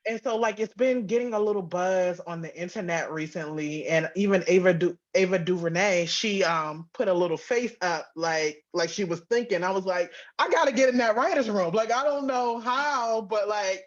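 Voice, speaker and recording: Woman, interview guest, mid-pitched voice, casual chatty delivery, slightly muffled lo-fi recording. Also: moderate pace, hardly any pauses. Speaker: guest, audio drama writer and producer.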